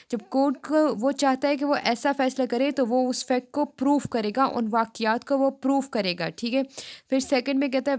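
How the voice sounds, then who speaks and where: A woman with a Pakistani accent giving a law lecture, reading statutes aloud and explaining them in a halting, repetitive way. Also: on the high side.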